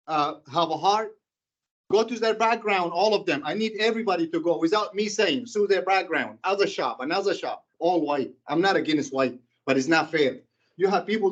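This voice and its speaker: A man with a Sudanese accent making an impassioned, halting public comment at a board meeting, often restarting and repeating himself. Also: a little high, lo-fi sound.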